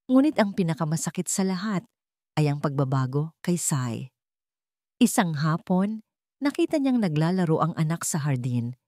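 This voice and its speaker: A woman with a Filipino accent narrates a melodramatic story. Her voice is low, and her delivery is measured and solemn. The recording is very dry. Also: big pitch swings, mellow, no echo. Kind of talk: dramatic scripted narration.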